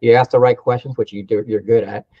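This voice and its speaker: A man from the Philippines chatting casually on a remote call as a mentee, haltingly thinking aloud. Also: mid-range voice, steady tone, breathy edge. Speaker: mentee learning real estate investing. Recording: muffled call audio with room echo.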